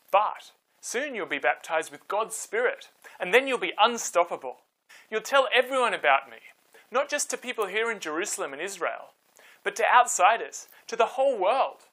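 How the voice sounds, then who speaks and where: Confident, encouraging teacher, read by a male storyteller, emphatic with a very lively pitch range, storybook tone. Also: moderate pace, full character voice, rough recording.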